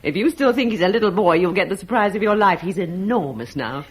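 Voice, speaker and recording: Brief: slightly breathy, chatty Roman-era wife, very lively voice. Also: female voice, mid-range voice, fairly quick. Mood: gracious. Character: warm, chatty middle-aged Roman-British wife. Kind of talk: 1960s BBC radio drama acting.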